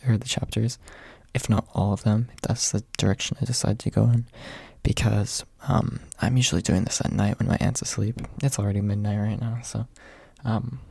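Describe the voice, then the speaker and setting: A young man with a soft, husky voice, reading quietly in an ASMR audiobook style, close to the microphone. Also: on the low side, steady tone, normal speed, no echo. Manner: soft-spoken, hushed late at night. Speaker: amateur audiobook reader. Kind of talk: ASMR, quiet storybook narration. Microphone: close USB microphone without pop filter.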